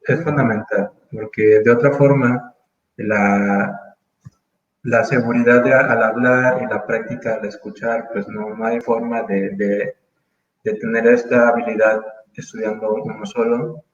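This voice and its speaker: A man in his thirties from Mexico, speaking as an interview guest in casual conversation, thoughtful and full of pauses and restarts. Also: on the low side, fairly even intonation, reverberant, highs cut off. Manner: thoughtful, hesitant, many fillers and restarts.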